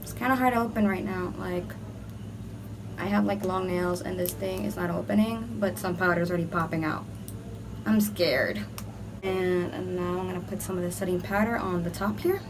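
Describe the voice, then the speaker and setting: A woman's voice: on the low side, normal speed, noisy background. A young woman doing a casual makeup tutorial, chatty and rambling with lots of "like", a little under the weather with a cold. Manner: chatty and rambling, heavy use of "like". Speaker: beauty vlogger. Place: indoor room under hot filming lights. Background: background music.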